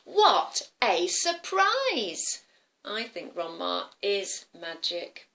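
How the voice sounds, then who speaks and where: A woman voicing a curious, excitable little girl in a children's story, full of wonder and drawn-out exclamations. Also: high-pitched, pitched above the performer's own voice, exaggerated voice. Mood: amazed. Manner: breathless wonder, stretched-out exclamations. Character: curious, excitable little girl, easily amazed, a bit sneaky.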